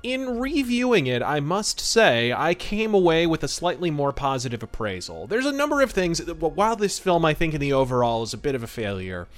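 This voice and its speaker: A man's voice: a little high, very animated, fairly quick, hardly any pauses, faint music behind. A man hosting a podcast, giving commentary in an emphatic, theatrical manner with florid wording. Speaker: podcast host. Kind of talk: podcast commentary, semi-scripted talk.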